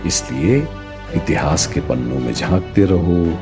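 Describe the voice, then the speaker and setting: An actor playing a learned teacher who explains history in a didactic, rhetorical way, mixing in English words, in an educational radio play. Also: male voice, low-pitched, lively intonation, a little slow, over music. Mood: rousing, earnest.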